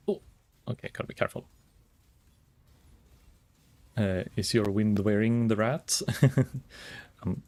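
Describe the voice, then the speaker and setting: A calm, soft-spoken man chatting casually while streaming a game, rambling and thinking aloud with many fillers. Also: lowish voice, gravelly. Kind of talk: casual gaming stream chat.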